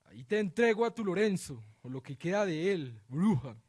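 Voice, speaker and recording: A man's voice: high voice, very animated, normal speed, darkish tone, slightly rough, heavily acted. A man playing a cruel, self-righteous regent in a radio drama, declaiming pompously as he passes judgment. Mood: contemptuous.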